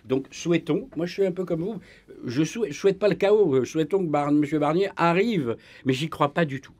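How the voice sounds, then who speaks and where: A man speaking as a historian guest in a radio debate, emphatic and full of restarts, his pitch rising when he presses a point. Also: fairly quick, a little mellow, no echo. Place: radio studio.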